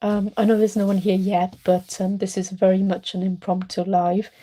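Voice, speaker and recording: A middle-aged woman chatting on a late-night live stream, rambling warmly with many ums while congested and chesty from a cold. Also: mid-range voice, flat delivery, normal speed, low-quality recording. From Wales. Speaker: art channel host.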